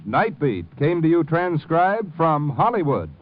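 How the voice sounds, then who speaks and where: A man's voice: on the high side, sing-song, measured pace. A man working as a 1950s radio announcer, reading scripted credits. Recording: muffled old 1950 radio broadcast with music.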